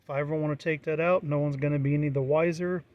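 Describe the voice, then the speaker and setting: Casual American man with a higher-pitched voice. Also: steady tone, fast talker, highs cut off. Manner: plain-spoken, matter-of-fact. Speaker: hobbyist presenter. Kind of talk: casual unscripted walkthrough.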